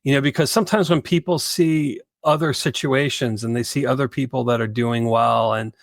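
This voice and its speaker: A man's voice: medium pitch, fairly even intonation, moderate pace, vocal fry, no room sound, clean studio sound. A man co-hosting a podcast, speaking earnestly and repeating words for emphasis. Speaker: podcast co-host. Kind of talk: reflective conversation.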